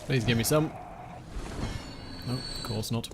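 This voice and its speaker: A weary man streaming a game, his voice worn and slightly slurred from hours of talking. He mutters dry, self-deprecating asides in a middle-pitched voice. Clear background noise sits under his voice. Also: measured pace. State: tired, losing his voice. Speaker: let's play streamer. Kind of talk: casual gameplay commentary.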